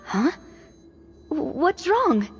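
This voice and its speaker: A woman voicing a tiny, excitable childlike fairy companion, chirpy, naive and squeaky. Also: very slow, exaggerated voice. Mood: anxious. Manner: chirpy, naive, refers to herself by name. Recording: muffled game audio with background music.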